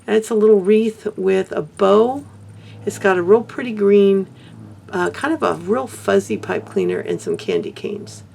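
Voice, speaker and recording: An older woman from Texas, hoarse, chatting casually to camera in a rambling way about her crafts and life. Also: mid-range voice, very animated, moderate pace, a little mellow, a little hiss or hum. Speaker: beadwork vlogger.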